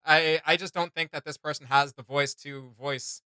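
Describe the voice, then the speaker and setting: A man's voice: on the high side, steady tone, pushed and bright, smooth, no room sound, studio quality. A man giving casual, opinionated reaction commentary as a host, emphatic and informal, often circling back over his words. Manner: emphatic, halting and repetitive, informal.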